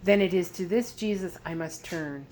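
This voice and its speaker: A woman's voice: lowish voice, normal speed, a little mellow, natural voice. Storybook role: aged sorcerer man, performed by a woman, intense, imploring delivery, slight background noise. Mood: pleading. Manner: earnest, pleading, dramatic. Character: frightened old Chaldean sorcerer, desperate, pleading, emotional man.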